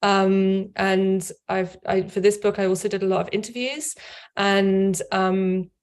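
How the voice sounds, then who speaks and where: A woman's voice: mid-range voice, level tone, measured pace. A middle-aged woman speaking on a video call from a home office, thoughtful, repeating words as she gathers her ideas. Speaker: author and scholar. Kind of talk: casual panel talk.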